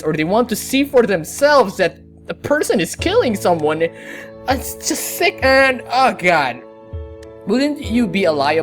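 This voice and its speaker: Female gaming host, deep for a woman, chatty and exclamatory, reacting casually, music underneath. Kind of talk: casual reaction commentary on a game.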